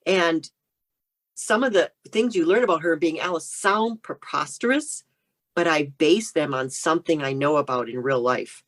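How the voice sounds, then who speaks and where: American lady author guest, low pitched voice, explaining stuff in a casual chatty way. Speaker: guest novelist. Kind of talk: casual interview conversation.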